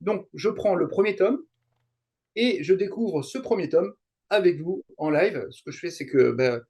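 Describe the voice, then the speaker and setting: A middle-aged man from France chatting as an interview guest, explaining things in a halting way with frequent digressions. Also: high-pitched, animated, darkish tone, husky. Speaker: guest, YouTuber and translator. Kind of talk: casual interview chat, explaining.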